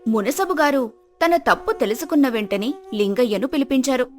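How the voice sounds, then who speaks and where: Woman, storyteller, scripted children's tale narration, higher-pitched voice, soft music behind. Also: animated, a little fast.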